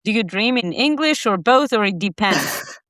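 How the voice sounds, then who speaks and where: A woman's voice: mid-range voice, expressive, normal speed, fairly bright tone, dry recording. Curious lady cohost firing off questions, dry close mic. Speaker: podcast co-host. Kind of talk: casual interview questions.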